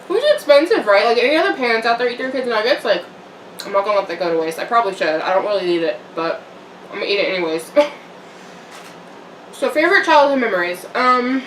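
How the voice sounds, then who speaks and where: A woman's voice: fairly high pitch, a bit bright, slight background noise. A young woman chatting casually while eating on camera, rambling with fillers and little laughs. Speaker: vlogger. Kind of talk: casual eating-show chat. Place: home room, eating on camera. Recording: echoey room, mic a bit far off.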